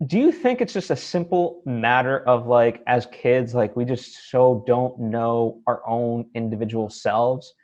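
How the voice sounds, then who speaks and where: A man's voice: mid-range voice, measured pace, few pauses, darkish tone, lo-fi sound. A man hosting a conversational interview, feeling his way thoughtfully through long questions. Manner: hesitant, thoughtful, rambling questions. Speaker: interviewer, show host.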